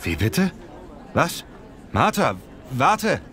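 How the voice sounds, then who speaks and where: A man's voice: a little high, wildly swooping pitch, very slow, a bit bright, some chatter behind, full character voice. A man playing a lazy, muscle-proud Italian language learner in a scripted lesson dialogue, speaking in simple, complaining phrases. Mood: indignant.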